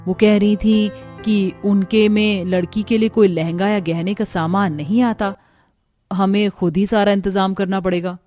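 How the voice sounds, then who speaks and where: A woman's voice: medium pitch, fairly even intonation, unhurried, warm tone, natural voice. A woman voicing an outspoken, indignant young daughter-in-law from a poor family in a cartoon story. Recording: music under the voice.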